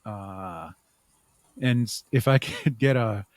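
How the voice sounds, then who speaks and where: A man's voice: lowish voice, slow, no echo. Man thinking aloud as a podcast guest, dry sound. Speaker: podcast guest, audio drama writer and producer. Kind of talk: conversational interview answers.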